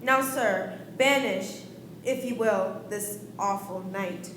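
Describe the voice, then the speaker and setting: A woman's voice: medium pitch, takes it slowly, lightly acted. A young woman playing an anxious yet imperious king in an amateur radio play, with formal, declamatory delivery. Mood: grave, regal. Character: anxious, imperious King Arthur of England, pleading yet regal. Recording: echoey room with background noise.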